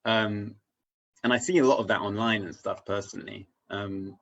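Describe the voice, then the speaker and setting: A man talking casually and reflectively as a guest, haltingly searching for his words and often checking in with "right?". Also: on the low side, steady tone, vocal fry, fairly bright tone, muffled recording. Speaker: interview guest on spirituality.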